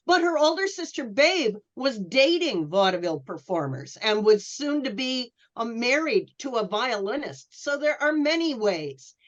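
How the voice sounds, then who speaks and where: A woman talking as a panelist in a casual history discussion, with a hesitant, halting delivery. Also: a little high, big pitch swings. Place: remote call for a virtual convention. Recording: dull lo-fi sound.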